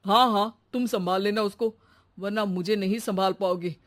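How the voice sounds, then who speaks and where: A woman's voice: medium pitch, fairly even intonation, moderate pace, natural voice. A woman voicing an exasperated husband in a cartoon, fed up and dramatically pleading. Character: exasperated husband, employer of a maddening housemaid. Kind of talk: Hindi cartoon voice acting.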